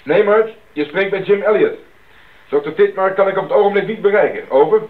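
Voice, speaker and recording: An actor playing a practical young missionary and radio operator in a radio drama. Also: male voice, sits high, a little fast, close to an everyday voice. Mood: focused, businesslike. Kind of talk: 1980s Dutch radio play acting. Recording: dull old radio drama, background noise.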